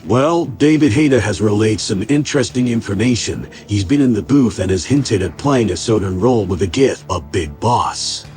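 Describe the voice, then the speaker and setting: A man playing a calm, measured military support officer briefing a spy in a scripted video game skit. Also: lowish voice, soft background music, natural voice. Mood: calm, informative.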